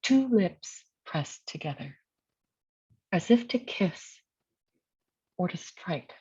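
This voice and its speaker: A middle-aged woman with a fairly low voice gives a poetry reading, mixing her own literary lines with informal remarks. The recording is slightly dull and lo-fi. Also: very animated, slow pace, darkish tone, husky. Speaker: poet and university teacher reading own work. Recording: dull, lo-fi sound.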